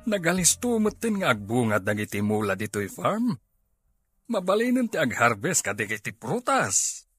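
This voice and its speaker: A man's voice: medium pitch, very animated, moderate pace, a bit bright, slightly rough. A man with an Ilocano Filipino accent playing a brooding, kindly middle-aged father in a radio drama. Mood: obliging.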